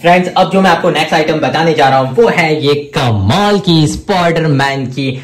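A man with an Indian accent presenting products to viewers in an excitable, gushing way, piling up superlatives. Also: on the high side, normal speed. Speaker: toy reviewer and presenter. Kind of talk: enthusiastic product showcase to viewers.

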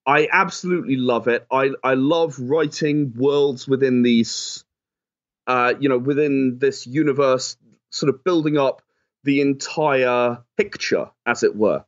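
A man giving conversational, explanatory interview answers, often softening his points with "sort of". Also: mid-range voice, a little slow, dull audio. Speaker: game designer and writer, interview guest.

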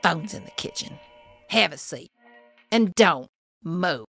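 An actress playing a suspicious, gruff old woman who lives alone, talking bluntly in a slow drawl. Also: on the low side, expressive, a bit bright, faint music behind, full character voice. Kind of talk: audio drama acting.

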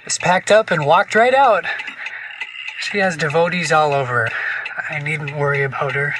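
A man's voice: a little high, moderate pace, recorded from a distance, background noise, close to an everyday voice. A man playing a fragile, tormented husband in an audio drama, jittery and overwrought. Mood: bitter relief. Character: nervous, tormented occultist husband, fragile and frantic.

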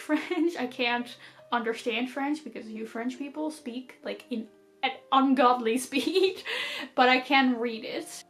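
A woman's voice: a little high, fairly bright tone. A young woman in her twenties with a Dutch accent, chatting casually to the camera about books while she does her makeup, laughing often. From Netherlands or Flanders. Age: 20s. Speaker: book vlogger. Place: small decorated bedroom, indoors.

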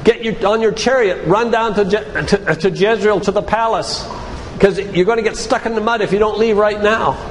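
A man's voice: high voice, moderate pace. An older man giving a sermon-like lecture, retelling Bible stories in a didactic way and addressing listeners directly. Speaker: physician giving a guest talk at a church. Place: large room, church. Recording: reverberant hall with clear background noise.

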